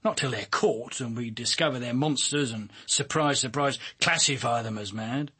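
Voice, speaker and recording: An actor in a radio drama playing a world-weary, driven senior detective with a dry, blunt, commanding manner. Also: male voice, mid-range voice, moderate pace, bright, forceful tone, husky. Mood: sardonic, musing.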